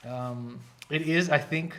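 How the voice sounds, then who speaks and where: A man's voice: a little high, slow, fairly bright tone, a little hiss or hum. A man, an art instructor, chatting casually on a live stream and explaining things at length with many restarts. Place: art academy studio.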